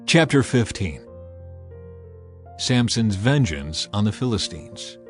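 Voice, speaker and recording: A man narrating a dramatized scripture audiobook as an expressive storyteller. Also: on the low side, slow. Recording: music behind the voice. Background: music.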